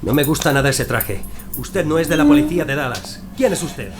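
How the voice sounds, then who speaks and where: Actor as high-pitched, agitated male murder suspect. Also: lively intonation, fairly quick, music in the background, natural voice. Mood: defiant. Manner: agitated, defiant, protesting. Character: panicked, indignant young male murder suspect. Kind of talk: audio drama acting.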